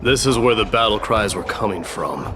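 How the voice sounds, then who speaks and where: An actor playing a stern young male warrior prince in a game, speaking in a calm, reserved, clipped way. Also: lowish voice, moderate pace, lightly acted. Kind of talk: game voice acting. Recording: music under the voice.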